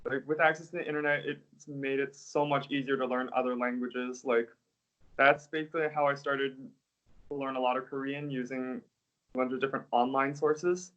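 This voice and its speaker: A young man talks through his answers in an easygoing, unhurried way, sprinkling in "like" and "I guess." His voice sits at a middle pitch. The audio is a bit dull and lo-fi, like a video call. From United States. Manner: relaxed, hedging with "like" and "I guess". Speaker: interview guest, English teacher. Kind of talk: casual interview answers.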